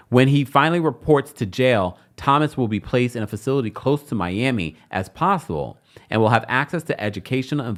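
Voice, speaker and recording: A man hosting a celebrity news show, reading the story and adding mocking, sassy asides. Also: medium pitch. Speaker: show host.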